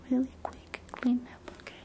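A woman whispering softly as a doctor in an ASMR roleplay, giving gentle, soothing instructions during an exam. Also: moderate pace. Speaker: ASMR creator playing a doctor.

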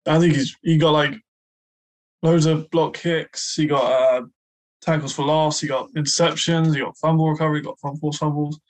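A man co-hosting a casual sports podcast, chatty and quick to laugh. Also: a little high, flat delivery, low-quality recording. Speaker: podcast co-host.

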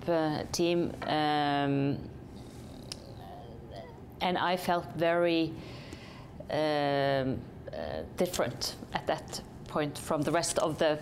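A woman's voice: sits low, a little mellow, slight background noise. A woman from Norway, a company chief executive, answering interview questions thoughtfully with many hesitations and restarts.

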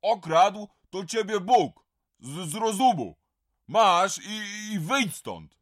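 A man's voice: high-pitched, very animated, slow, full character voice. An actor playing a frightened but defiant village shopkeeper in a radio drama, stammering and straining as he stands up to a bully. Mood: indignant.

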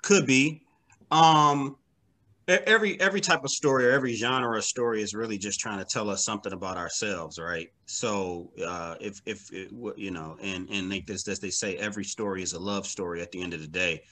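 A man's voice: lowish voice, a little fast, bright, forceful tone, a little hiss or hum. A man speaking as an interview guest in a casual, reflective way, emphatically repeating phrases to build his points. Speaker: interview guest, comic book writer.

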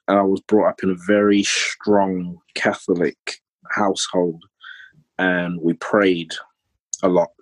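Deep, slow, husky-voiced man. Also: dry recording, low-quality recording. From Trinidad. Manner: hesitant, many pauses and filler ums. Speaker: podcast guest. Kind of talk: candid personal conversation.

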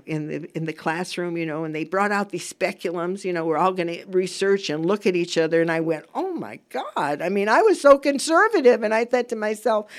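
A woman hosting a radio show, talking informally and emphatically, rambling through opinions and repeating phrases for weight. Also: medium pitch. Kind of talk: informal radio monologue with personal opinion and anecdote. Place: radio studio.

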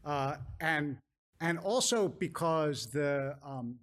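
An American man, a rabbi answering audience questions in a measured, careful way, often qualifying and repeating phrases for emphasis. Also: fairly high pitch, a bit bright. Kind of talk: thoughtful public question-and-answer talk.

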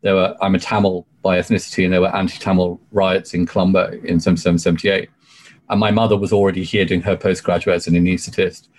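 Low-pitched British man, effusive and talkative. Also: level tone, quick pace, a little mellow. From UK, born in Sri Lanka. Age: 40s. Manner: effusive, emphatic, talkative, frequent "you know". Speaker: podcast guest, barrister. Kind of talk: conversational interview storytelling.

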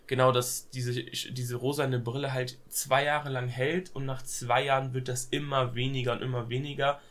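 A man's voice: medium pitch, little pitch movement, moderate pace, a bit bright. A young man with a cold, chatting casually as podcast co-host, hesitant with lots of fillers and youthful slang. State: has a cold. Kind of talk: casual chat.

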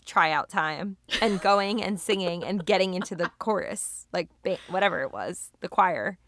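A young woman co-hosting a podcast, with a raspy, low voice and a playful, joking way of talking. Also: animated, normal speed, a bit bright, no echo, clean studio sound. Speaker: podcast co-host. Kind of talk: casual chat.

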